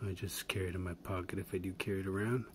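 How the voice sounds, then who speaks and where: A lower-voiced man talks through a pocket knife in a casual demo, rambling easily with little chuckles and fillers. He sits indoors at a table with the camera close by. Clear background noise and clicks from handling the tools run under his voice. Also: flat delivery, rapid-fire. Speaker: channel host reviewing a pocket knife. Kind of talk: casual product demonstration.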